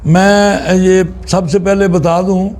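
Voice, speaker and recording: A man giving an informal, unscripted religious talk in a halting, deliberate way, often pausing mid-sentence. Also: high-pitched, little pitch movement, a little mellow. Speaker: Islamic spiritual speaker.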